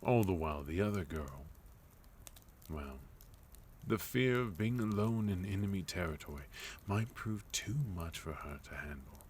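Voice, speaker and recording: Casting: male actor as a suave villain leader for ASMR roleplay; extremely low pitch, courteous, composed, quietly threatening, faint background noise. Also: expressive. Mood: composed, softly menacing. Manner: measured, courteous, softly menacing, deliberate pauses. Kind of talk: intimate ASMR roleplay monologue.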